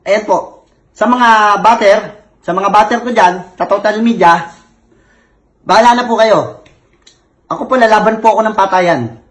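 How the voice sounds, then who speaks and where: Lisping angry man, high voice. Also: normal speed, long pauses, a bit bright. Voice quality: heavy lisp, s turned to t. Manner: angry, complaining, repetitive. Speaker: self-styled actor on social media. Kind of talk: comic rant.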